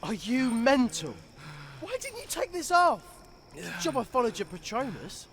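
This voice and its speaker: Excitable British sidekick lad, blunt, high voice, very swooping, faint noise behind. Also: male voice, moderate pace, heavily acted. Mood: exasperated. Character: blunt, excitable teenage boy wizard sidekick. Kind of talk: video game dramatic acting.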